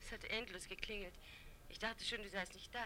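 An actress in a radio drama playing a friendly woman who speaks in a gently coaxing manner. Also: medium pitch, steady tone, fairly quick, natural voice. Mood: friendly, apologetic. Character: friendly, coaxing divorced woman phoning a close acquaintance, Eve. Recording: roomy, echoing sound.

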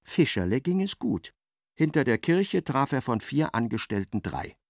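A man reading scripted literary narration in a radio play, with expressive, dramatic storytelling. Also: mid-range voice, moderate pace, slight background noise.

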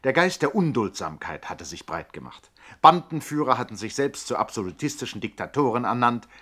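A man's voice: lowish voice, a bit bright. A man narrating a scripted radio play in a declamatory, mock-epic manner.

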